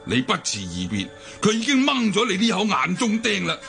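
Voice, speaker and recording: An actor playing a proud, brooding older deposed martial-arts cult leader in a Hong Kong Cantonese radio drama, declaiming and sighing theatrically. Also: male voice, high-pitched, huge pitch swings, fairly quick, gravelly, fairly bright tone, full character voice. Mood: indignant. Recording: music bed behind the voice.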